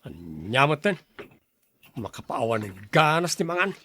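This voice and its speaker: A man's voice: medium pitch, very animated, a little slow, pushed and bright, heavily acted. A man acting an irritable Ilocano older brother. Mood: complaining. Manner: scolding, blunt, complaining. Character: irritable, fault-finding older brother and shopkeeper. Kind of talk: radio-style family drama acting.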